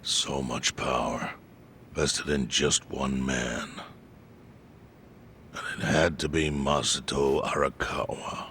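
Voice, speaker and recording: An actor playing a calm, knowing male informant who explains things in a measured way. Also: rumbling low, a little hiss or hum, full character voice. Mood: grave.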